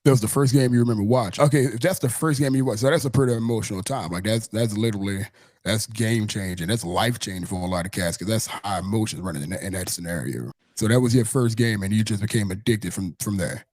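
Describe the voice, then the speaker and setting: A man with a low voice and a Southern US accent hosts a casual sports chat. He talks in a wordy, looping way, repeating phrases as he builds a question. The sound is dry, with little room to it. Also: quick pace, darkish tone, no room sound. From Mississippi, United States. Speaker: podcast host.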